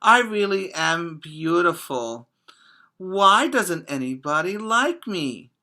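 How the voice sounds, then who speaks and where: A man voicing a proud, vain male fish in a storybook, loud, emphatic and self-important. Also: high-pitched, very animated, slow, vocal fry, voice pushed up, full character voice. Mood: plaintive.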